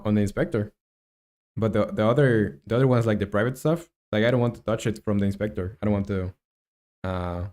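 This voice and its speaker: Male live streamer, native Spanish speaker, lowish pitch, casual and halting delivery, thinking aloud while coding and answering chat. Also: a little mellow. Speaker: live streamer, game developer.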